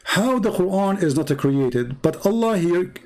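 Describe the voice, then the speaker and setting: A man's voice: high voice, lively intonation, measured pace, darkish tone. Arabic-accented male commentator, non-native English, raised voice, combative and mocking. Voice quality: broken, non-native grammar. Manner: argumentative, sarcastic rhetorical questions. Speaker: religious debate commentator. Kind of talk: polemical commentary.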